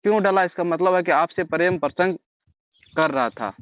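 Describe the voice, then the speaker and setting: A man's voice: sits high, normal speed, dry recording. A man conducting a blunt, accusing interview over the phone, badgering with pointed questions. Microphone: phone call. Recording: narrow tinny phone-line call.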